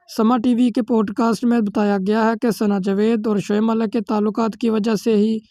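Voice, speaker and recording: Pakistani lady reading gossip news script, highish mellow voice, steady, really dry close recording. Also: no echo. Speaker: news narrator. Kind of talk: scripted celebrity news voice-over.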